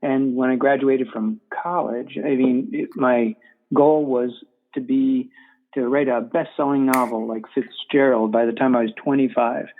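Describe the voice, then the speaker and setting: Halting man on a tinny line. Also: medium pitch, fairly even intonation. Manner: halting, repeats words. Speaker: guest author, former professor. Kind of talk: casual interview chat.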